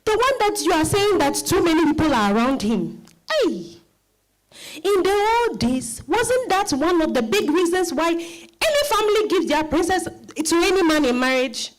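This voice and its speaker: A woman's voice: very high-pitched, sing-song, moderate pace, low-quality recording. A woman playing an indignant, exasperated mother in a dramatized reading, exclaiming and repeating words for emphasis. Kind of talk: dramatized stage-style reading.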